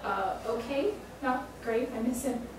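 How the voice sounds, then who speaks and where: A woman's voice: a little high, expressive, unhurried, pitched above the performer's own voice. Woman as a hurt, grieving woman in echoey room. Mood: tearful, shaken. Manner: emotional, insistent. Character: hurt, grieving young woman client, pleading and indignant. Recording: echoey room, distant mic, faint noise.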